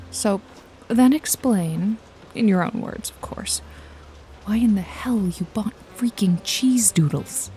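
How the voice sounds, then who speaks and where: A woman's voice: mid-range voice, dark tone, lightly acted. A woman playing a wry, jaded detective and hired killer in a noir ASMR roleplay, nonchalant and teasing with a casually menacing edge. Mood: exasperated, teasing. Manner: sardonic, foul-mouthed, nonchalant, teasing. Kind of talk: noir ASMR roleplay, intimate one-sided dialogue to listener. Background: jazzy music and rain effects.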